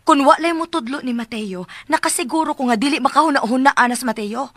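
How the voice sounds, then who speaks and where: An actress with a Cebuano accent playing an exasperated young wife who scolds a meddling helper in a radio drama. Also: female voice, high voice, brisk, dry recording, studio quality.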